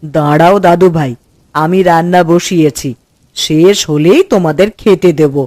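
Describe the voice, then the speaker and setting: A woman's voice: on the low side, normal speed, dark tone, no echo, close to an everyday voice. Woman acting motherly, bustling village hostess. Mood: attentive. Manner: homely, motherly, fussing. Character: caring, bustling village woman feeding her household and guests. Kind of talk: cartoon voice acting.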